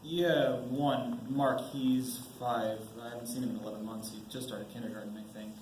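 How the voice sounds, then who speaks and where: A man's voice: medium pitch, steady tone, darkish tone, lightly acted. Teen boy acting a nervous young sergeant, echoey hiss. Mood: tense, subdued. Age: teens. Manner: halting, stammering, nervous. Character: anxious young army sergeant trapped on a mine. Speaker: high school student actor. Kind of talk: amateur stage play acting. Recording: roomy, noisy school stage recording.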